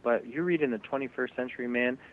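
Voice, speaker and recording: Co-host, male, measured and fact-heavy delivery, tinny lo-fi line with faint hum. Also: mid-range voice. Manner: reels off statistics, measured. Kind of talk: conversational broadcast discussion.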